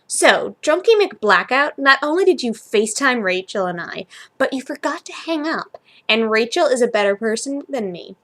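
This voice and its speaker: A woman voicing a sharp-tongued, mocking young woman in a story, sarcastic and biting. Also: fairly high pitch, very animated, normal speed. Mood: scornful.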